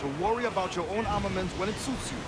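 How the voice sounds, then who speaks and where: Male actor as ship's quartermaster, high-pitched for a man, dignified, wry, formal, game acting, loud music and waves. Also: natural voice. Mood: dry, earnest. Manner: formal, measured wording, dry wit. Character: dignified, wry Caribbean pirate quartermaster, loyal and practical. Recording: loud music and sea sounds behind.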